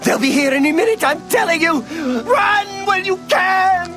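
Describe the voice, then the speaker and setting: A man's voice: extremely high pitch, expressive, a little slow, music in the background. A male actor playing a frantic, despairing refugee in a fantasy video game, overwrought and emphatic. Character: panicked, despairing refugee fleeing a demon attack. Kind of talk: dramatic fantasy game dialogue.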